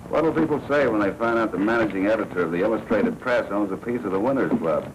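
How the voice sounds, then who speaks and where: Guy playing deep sardonic american gangster boss, needling slangy talk, old noisy 40s movie sound. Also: fast, close to an everyday voice. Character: menacing, sardonic crime boss, jealous husband. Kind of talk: 1940s film noir acting.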